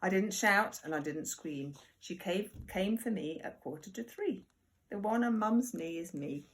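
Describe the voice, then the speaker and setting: A woman reading a picture book aloud to young children like a primary teacher, expressive and deliberate, with chatty asides. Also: mid-range voice. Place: at home.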